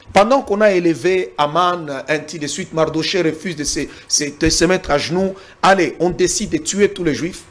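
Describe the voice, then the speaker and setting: Male guest from Congo, emphatic debater with a husky, animated voice. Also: on the high side. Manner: emphatic, repeats words when pressed, cites the Bible. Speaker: interview guest. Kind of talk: heated political debate.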